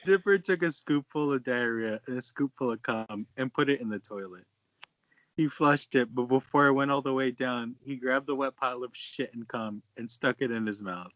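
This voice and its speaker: Young guy casually reading out a story n bantering, medium pitch, dull lo-fi mic. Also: normal speed, a bit bright, no room sound. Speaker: co-host reading fan fiction aloud. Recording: dull lo-fi voice chat audio.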